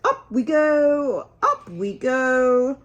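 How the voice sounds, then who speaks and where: A woman voicing an impatient, cheerful male mole from a storybook, exclaiming in drawn-out words. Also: high voice, big pitch swings, highs cut off, voice pushed up, heavily acted. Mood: eager effort. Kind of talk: storybook character voice.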